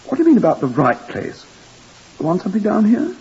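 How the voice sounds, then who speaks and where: A man voicing a fussy, flustered hotel manager with a husky voice, in old-time radio drama. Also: sits high, sing-song, fairly quick, a little mellow, a little hiss or hum, pitched above the performer's own voice. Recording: muffled old radio recording.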